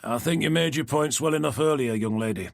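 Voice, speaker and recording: A man doing a booming moderator keeping order. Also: fairly quick, vocal fry, no echo, studio quality, lightly acted. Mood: placating. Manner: firm, placating, booming. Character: male debate moderator, booming, trying to keep order.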